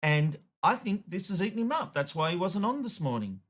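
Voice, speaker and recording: A man's voice: high voice, sing-song, fairly quick. A man hosting a show, delivering opinionated, emphatic editorial commentary. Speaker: show host, media commentator.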